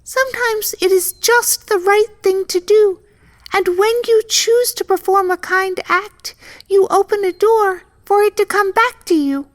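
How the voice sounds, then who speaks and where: A tiny storybook mouse, with a very high, squeaky little voice. She is brave and polite, and she speaks with earnest courtesy. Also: unhurried. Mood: earnest, persuasive.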